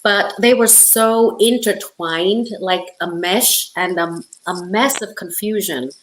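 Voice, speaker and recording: A woman's voice: medium pitch, slow pace. A middle-aged woman from Hong Kong, an author talking as an interview guest in an emphatic, conversational storytelling way. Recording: roomy, echoey sound.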